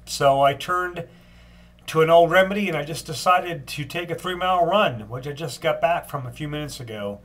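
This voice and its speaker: An older man with a stuffed-up cold voice giving a casual daily video update, unhurried, with frequent ums and restarts. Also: fairly high pitch, fairly bright tone. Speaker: long-distance walker giving a daily update.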